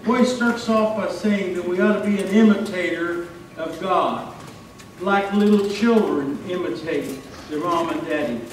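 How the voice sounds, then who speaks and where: A man's voice: sits high, measured pace. An older American man preaching a sermon in a folksy, storytelling manner. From Texas, United States. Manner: folksy, illustrating with homely stories. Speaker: pastor. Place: church stage, indoors. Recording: echoey hall, distant mic, faint noise.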